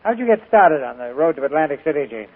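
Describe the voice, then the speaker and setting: Man, radio host, polished and genial, fairly high voice, tinny 1940s broadcast. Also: very animated, quick pace. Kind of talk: scripted on-air interview.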